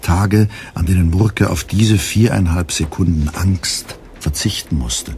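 A man narrating a radio drama in a calm, measured storytelling voice. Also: low voice, a little hiss or hum. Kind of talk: scripted literary narration.

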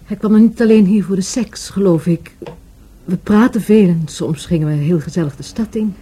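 An actress playing a wistful, grieving lover in a radio play, hesitant and halting as she searches for words. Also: mid-range voice, mellow, breathy edge, natural voice. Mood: wistful, searching. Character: grieving, wistful lover of a murdered market trader. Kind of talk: Dutch radio play acting.

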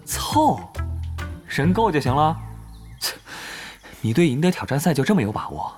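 A man's voice: on the high side, very animated, normal speed, a little mellow, faint music behind. A young man acting in a Mandarin audio drama as a cautious, nitpicking gamer who talks in wordy, sardonic questions. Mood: probing.

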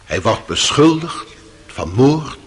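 An actor playing a stern, solemn public prosecutor giving grave, rhetorical courtroom oratory in a radio drama. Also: male voice, mid-range voice, very animated, slow, slight background noise. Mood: solemn, accusing.

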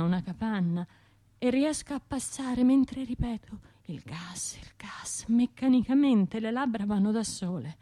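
A woman's voice: medium pitch, close to an everyday voice. Actress playing traumatised woman survivor, mid-range voice, guilt-ridden, fragmented and repetitive delivery, radio drama monologue. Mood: panicked, self-reproaching. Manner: fragmented, repetitive, obsessive delivery with hushed and surging phrases.

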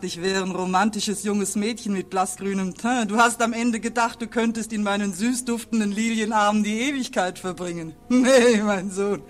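Woman playing a gruff, mocking old river spirit. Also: mid-range voice, natural voice. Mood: mocking, amused. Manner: earthy, scolding, mocking. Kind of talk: 1940s radio drama acting. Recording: vintage 1940s radio recording.

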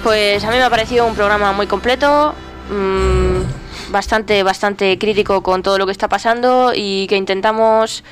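A woman's voice: mid-range voice, steady tone, moderate pace, music in the background. A woman with an accent from Spain, co-hosting a radio programme in a casual, conversational style. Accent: Spanish from Spain. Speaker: radio co-host. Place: radio programme with music behind.

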